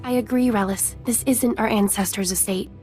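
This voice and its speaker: A woman's voice: mid-range voice, steady tone, normal speed, a little mellow, soft background music, close to an everyday voice. An actress playing an earnest, conscientious young settler woman in a game cutscene. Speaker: voice actor in a video game. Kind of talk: scripted game cutscene dialogue.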